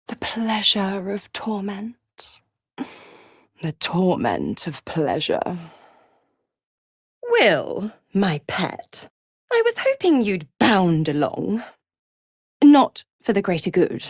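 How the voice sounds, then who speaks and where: A seductive she-devil for a video game, scheming and smug. She takes her time over each phrase, swooping expressively through her pitch as she taunts and condescends with silky, mocking sweetness. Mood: smug, teasing. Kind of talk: scripted video game character acting.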